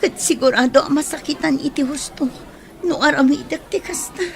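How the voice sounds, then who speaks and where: A woman's voice: sits high, moderate pace. A woman playing a guarded, troubled young woman in an audio drama, emotional and defensive, with a Filipino accent. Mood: pained, resigned. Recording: busy crowd sounds behind voice.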